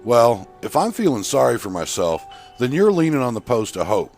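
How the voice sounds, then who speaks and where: A man's voice: medium pitch, very animated, moderate pace, darkish tone, faint music behind, pitched above the performer's own voice. A man voicing a bitter, self-pitying man in scripted story dialogue. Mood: bitter, defeated.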